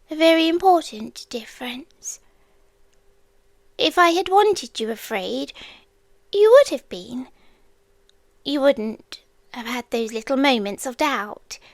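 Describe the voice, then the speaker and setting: A British woman playing an ancient, possessive watcher in an ASMR roleplay, hushed and often whispering, teasingly menacing and eerily tender, close on the microphone. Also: sits high, no echo, exaggerated voice. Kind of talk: ASMR roleplay, intimate dramatic monologue. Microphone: close-up ASMR microphone, dry.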